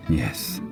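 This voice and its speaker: An actor playing a composed, learned gentleman in an anime-style video game, speaking in measured, formal, old-fashioned phrases. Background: game music.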